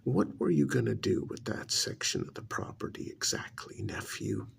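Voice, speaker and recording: A man playing a haughty, irritated older billionaire uncle in an ASMR roleplay, gravelly and breathy, talking down in drawn-out, sarcastic phrases. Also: fairly low pitch, expressive, slight background noise. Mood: bitter sarcasm. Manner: slow, condescending, drawn-out sarcasm with pauses.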